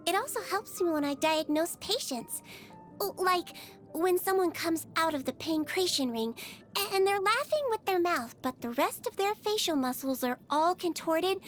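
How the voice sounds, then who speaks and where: Tiny, very high-pitched sweet nurse girl. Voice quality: childlike, cutesy. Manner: gentle, chatty, earnest. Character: small sweet girlish nurse creature, caring and cheerfully earnest. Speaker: infirmary nurse. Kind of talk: video game character voice lines.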